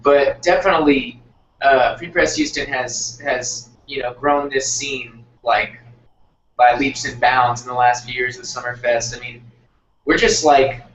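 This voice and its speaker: A young man from Texas talks casually as an interviewed band member, feeling his way through sentences with many fillers. His medium-pitched voice sounds distant in a roomy space, dull and faintly noisy. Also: fairly even intonation, a little slow, fairly bright tone. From Texas, United States. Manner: hesitant, filler-heavy, searching phrasing. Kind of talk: casual chat.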